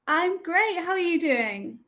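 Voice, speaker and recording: A woman's voice: extremely high pitch, lively intonation, takes it slowly, a crowd in the background. A young woman from the UK who teaches English, chatting casually on a video call with lots of ums and hesitations. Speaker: online English tutor.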